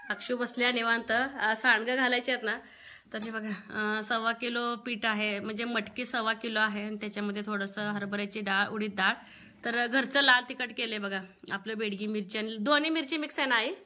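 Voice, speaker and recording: Bright-voiced chatty Marathi woman, higher pitched. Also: steady tone, brisk. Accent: rural Maharashtrian Marathi. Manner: chatty, conversational. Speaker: family vlog host. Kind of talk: casual home cooking vlog narration.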